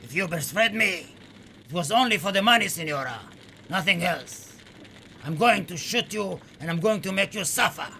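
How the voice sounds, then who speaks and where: Loud sneering fascist thug, acted by a man. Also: sits high, lively intonation, moderate pace, slight background noise, voice pushed up, full character voice. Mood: cruel, threatening. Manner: menacing, mocking, loud.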